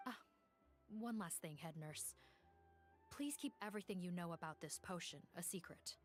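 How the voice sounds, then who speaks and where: A woman playing a composed police officer in a video game, speaking in a formal, measured, matter-of-fact way. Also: on the low side, faint music behind, close to an everyday voice. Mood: serious. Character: composed female police officer reporting on a case. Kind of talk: scripted video game dialogue.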